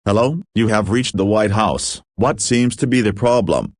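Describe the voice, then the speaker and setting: A man's voice: lowish voice, normal speed, background noise, close to an everyday voice. A synthetic-sounding man's voice playing a formal government phone operator in a scripted audio drama. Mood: businesslike, alarmed.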